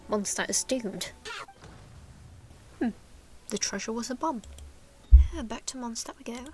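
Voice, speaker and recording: A woman's voice: on the high side, unhurried, faint music behind. A woman hosting a let's play, giving casual, dryly sarcastic game commentary.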